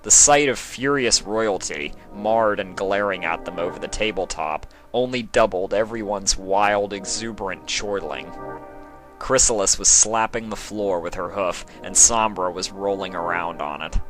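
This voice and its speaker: Low, bright-voiced man narrating a story. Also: unhurried, faint music behind, low-quality recording. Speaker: narrator of fan fiction readings. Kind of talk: expressive scripted story narration.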